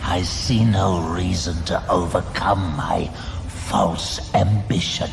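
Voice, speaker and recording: An actor playing a sly, defiant orc warlock in a fantasy audio drama, gravelly, measured and mocking. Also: male voice, low voice, fairly even intonation, soft background music, heavily acted. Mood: mocking defiance.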